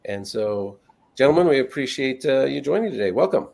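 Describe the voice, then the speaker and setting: A male host opens a video-call interview in a friendly, loose style, full of fillers. His voice has a gravelly edge at a mid pitch. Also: lively intonation, a little fast, a little mellow. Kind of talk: casual interview hosting.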